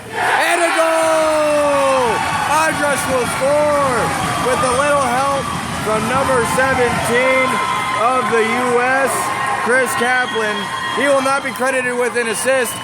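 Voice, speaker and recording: A hoarse male play-by-play announcer with a high voice, calling a hockey game from a cramped booth. He keeps a brisk pace and bursts into shouts on key plays. A loud crowd and heavy arena noise fill the background. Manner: brisk, rising to excited shouts on big plays. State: hoarse from calling many games. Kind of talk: live sports play-by-play commentary. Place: small broadcast booth above an indoor rink.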